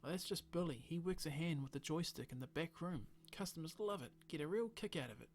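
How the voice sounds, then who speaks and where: A man's voice: on the high side, lively intonation, a little fast, vocal fry, a little hiss or hum. A man voicing a friendly, easygoing male bartender who chats and jokes with customers. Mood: chatty. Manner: friendly and chatty, with a joking tone.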